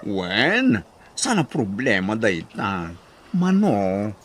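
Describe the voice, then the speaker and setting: An easygoing moneylender of a radio drama. He talks slowly with a hugely varied pitch, obliging and smooth, with faint noise behind him. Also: very animated, a bit bright, exaggerated voice. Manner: unhurried, obliging. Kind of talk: radio-style Ilocano drama acting.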